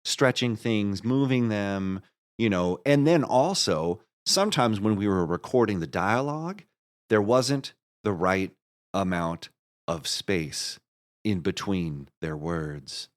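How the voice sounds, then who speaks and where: A man's voice: lowish voice, expressive, slow pace. A man speaking as an interview guest in a casual chat, explaining his creative work with an enthusiastic, storytelling manner.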